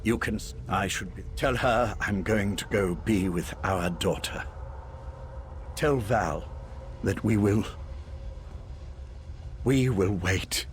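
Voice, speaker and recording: An actor playing a calm, self-sacrificing mage in a video game, measured, formal and wry with quiet regret. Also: male voice, fairly low pitch, slight background noise. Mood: fading, sorrowful.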